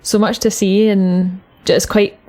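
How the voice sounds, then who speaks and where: A woman's voice: mid-range voice, little pitch movement, measured pace, warm tone. A woman from Glasgow, speaking as a podcast host in introductions and casual interview chat. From Glasgow, Scotland.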